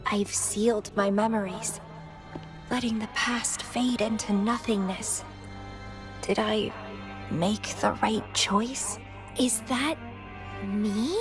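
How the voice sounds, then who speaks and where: A woman's voice: mid-range voice, fairly even intonation, takes it slowly, pauses often, over music, lightly acted. A woman voicing a playful, thrill-seeking young female warrior in a video game, wistful and possessive, with a teasing, theatrical delivery. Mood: wistful, pensive. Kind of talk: scripted video game character acting.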